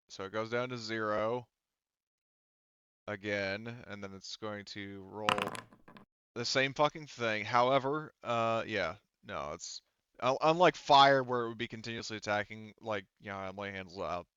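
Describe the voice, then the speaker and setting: A man's voice: on the low side, a little slow, fairly bright tone, smooth, no room sound, muffled recording. A man working as game master, narrating a tabletop roleplaying game casually and profanely, thinking aloud as he works through the rules.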